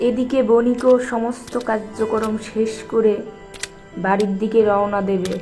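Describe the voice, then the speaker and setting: A woman narrates a cartoon fairy tale in a fairly high voice. Her delivery is scripted storybook narration, set over clearly audible music. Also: fairly even intonation, unhurried, dark tone.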